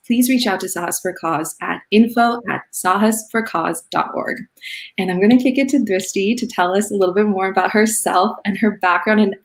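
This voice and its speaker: A woman hosting a casual online interview show, upbeat and encouraging with her guest, on a video call mic. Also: fairly low pitch. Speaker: podcast host.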